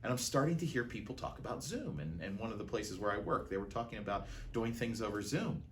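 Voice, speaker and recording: An American man with a low-pitched voice talks in an interview. He recounts things in a conversational, storytelling way. A light layer of background noise sits behind him. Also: big pitch swings, fast talker. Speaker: art director of a theater and radio drama troupe, interviewee.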